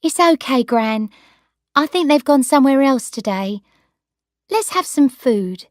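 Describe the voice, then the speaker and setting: A woman's voice: high voice, expressive, a little slow, no echo, clean studio sound, pitched above the performer's own voice. A woman voicing a patient young granddaughter coaxing her grandmother.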